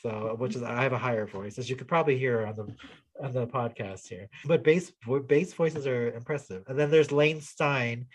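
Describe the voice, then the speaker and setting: A young adult man in easy podcast chat, with a voice at an ordinary middle pitch. He talks with plain enthusiasm and laughs often. He restarts his sentences as he goes. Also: normal speed. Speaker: podcast co-host. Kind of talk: casual chat.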